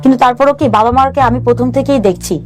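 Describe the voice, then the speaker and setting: Woman, 30s, earnest halting guest, fairly high pitch, faint music behind. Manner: earnest and halting, with restarts and English words mixed in. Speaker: guest sharing her life story. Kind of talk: personal storytelling on a talk show.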